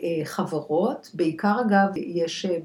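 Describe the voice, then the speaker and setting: An Israeli woman speaking as an expert guest in a conversational podcast interview, halting and repeating words for emphasis as she searches for phrasing. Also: lowish voice, darkish tone. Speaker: guest expert, clinical dietitian. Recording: echoey room.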